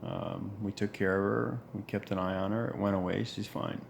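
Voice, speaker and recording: A man in his forties with a deep voice, talking earnestly in a reflective monologue, pausing and restarting as he goes. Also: fairly even intonation, vocal fry, a little hiss or hum. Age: 40s. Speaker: vlogger.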